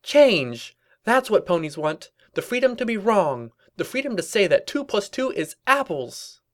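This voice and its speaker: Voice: medium pitch, very animated, unhurried, voice pushed up. A performer voicing an indignant young female cartoon pony revolutionary, declaiming and snapping defensively in a story reading. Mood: defiant, ranting.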